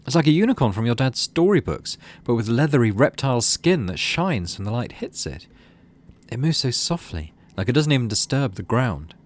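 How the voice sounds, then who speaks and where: A man's voice: mid-range voice, big pitch swings, moderate pace. A man doing let's play commentary on a game, reading narration aloud and thinking through choices in tentative asides. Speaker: gaming video host.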